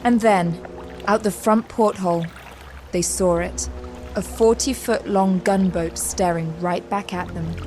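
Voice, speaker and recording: A composed woman narrator, voiced by an actress tells a sea adventure in scripted, dramatic style. Her pitch is mid-range, and music plays clearly behind her. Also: steady tone, a little slow, darkish tone, close to an everyday voice. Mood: measured, suspenseful.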